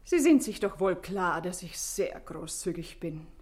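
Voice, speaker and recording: A woman's voice: on the low side, expressive, unhurried, a little mellow. An actress playing a self-assured, evasive society woman under questioning in a German radio drama, with an ironic, haughty manner.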